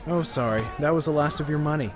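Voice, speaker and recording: A man's voice: a little high, fast, lightly acted. A man playing an unbothered carnival game attendant in an audio drama. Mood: matter-of-fact. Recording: music and crowd behind.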